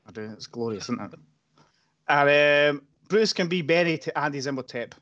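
A man's voice: on the high side, lively intonation, moderate pace, fairly bright tone, highs cut off. A man co-hosting a podcast in casual chat, laid-back and hesitant, swearing now and then. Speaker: podcast co-host.

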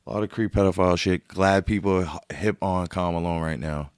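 Low-voiced man, blunt and profane. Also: fairly quick, clear, resonant tone, no room sound, studio quality. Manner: blunt, swears a lot, animated. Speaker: podcast host. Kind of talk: casual profane chat.

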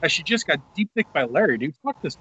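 Male host, mocking and profane, slightly higher voice, husky, muffled lo-fi audio. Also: very animated, fast, sharp tone. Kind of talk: casual crude banter.

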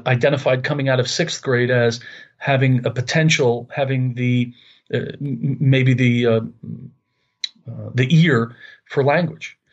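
A man in his late fifties with a New York accent speaks as an interview guest. He talks earnestly and often circles back to restart his phrases. His voice sits in a middle pitch and comes through slightly muffled. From Long Island, New York. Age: 50s. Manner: earnest, repeats words while searching for phrasing. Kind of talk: conversational interview.

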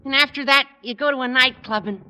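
An actor playing an eager, scheming high school boy with a squeaky adolescent voice and earnest, dramatic persuasion in old radio comedy style. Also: quick pace, pushed and bright, clean tone, full character voice. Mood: dreamy, rapturous. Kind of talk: 1940s-50s radio comedy acting.

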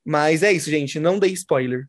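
A woman's voice: sits low, moderate pace. Young woman chatting casually. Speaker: show co-host. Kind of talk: casual chat.

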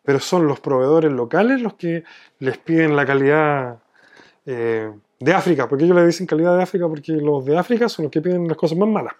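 Chilean guy chatting in an interview, voice a bit high, explains stuff through little anecdotes. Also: brisk, a little mellow, dull audio. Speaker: business owner being interviewed. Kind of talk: conversational interview, explaining from experience.

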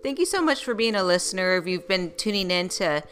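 A woman's voice: fairly low pitch, expressive, brisk, fairly bright tone. A woman from South Texas hosting a podcast, welcoming her listeners in a friendly, casual way. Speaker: podcast host.

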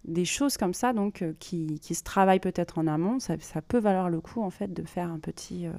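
A woman hosting a podcast, explaining things informally in a monologue and pausing as she thinks aloud. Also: on the low side, dark tone, no echo. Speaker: podcast host and creative writing workshop leader.

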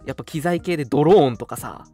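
A man's voice: fairly high pitch, fast, mellow, faint music behind. A young man hosting a radio show, chatting casually. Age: young adult. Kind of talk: casual chat.

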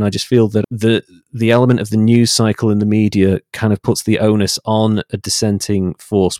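A British man speaking in a measured, articulate way as a guest in a reflective interview conversation. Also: lowish voice, a little mellow, no room sound, clean studio sound. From United Kingdom. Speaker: podcast guest, writer and voice-over artist.

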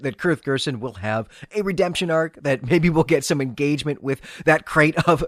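Expressive male podcast host, dry close sound. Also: medium pitch, no echo. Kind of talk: literary review commentary, semi-scripted.

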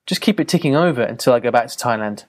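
A man's voice: mid-range voice, lively intonation, quick pace. A man hosting a podcast, talking on his own in a reflective, loose way with frequent fillers and restarts. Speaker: podcast host. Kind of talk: casual solo podcast monologue.

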